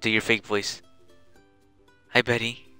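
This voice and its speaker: A woman's voice: extremely low pitch, flat delivery, a little slow, sharp tone, clean tone, dry recording, soft background music. A woman streamer chatting casually and playfully bossing her friend around during a game stream. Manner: playful, bossy, coaching. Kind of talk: casual chat while gaming.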